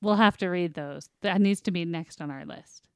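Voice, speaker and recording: Clear, smooth-voiced woman, close and dry. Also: fairly low pitch, moderate pace, no room sound. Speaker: quiz contestant and show regular, a parent. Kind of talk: casual chat.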